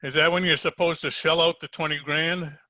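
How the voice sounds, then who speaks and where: A man playing a wisecracking, unhurried hardboiled detective in an old-time radio drama, wry and slangy. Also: fairly high pitch, level tone, sharp tone. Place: home, recorded over a video call.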